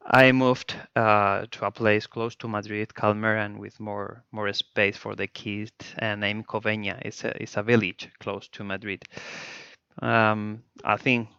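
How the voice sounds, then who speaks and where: A middle-aged man speaks English with a Spanish accent, his voice low, gravelly and crisp. He pauses often and restarts phrases as he searches for words. The recording is dry and slightly dull. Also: level tone, bright, forceful tone, dry recording. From Spain. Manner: hesitant, many fillers and restarts in a second language. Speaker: guest. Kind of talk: casual interview chat.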